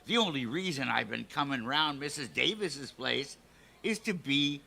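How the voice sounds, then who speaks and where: An actor playing a folksy, lovestruck old custodian in an old-time radio comedy. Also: male voice, medium pitch, expressive, moderate pace, vocal fry, bright, forceful tone, full character voice. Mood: eager, sly. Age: older. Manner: folksy, drawling rustic turns of phrase.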